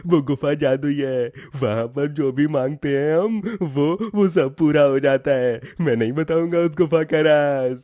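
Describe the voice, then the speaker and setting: A man's voice: a little high, a little slow, hardly any pauses, mellow, no echo, studio quality, natural voice. A man voicing a giggly, stubborn village madman who babbles and repeats himself erratically in a cartoon story. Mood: agitated, gleeful. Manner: erratic, repetitive, breaking into cackles.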